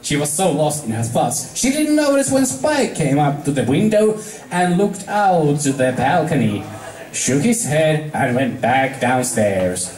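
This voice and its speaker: A man with a fairly high, lively voice narrates a story. He reads in an amateur, halting way, tripping over words, in a roomy, echoing space. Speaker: amateur fan fiction reader. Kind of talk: storybook narration read aloud.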